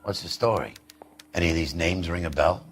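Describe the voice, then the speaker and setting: An actor playing a gruff, terse police detective partner in a video game, matter-of-fact in delivery. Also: male voice, low voice, brisk, soft background music, lightly acted.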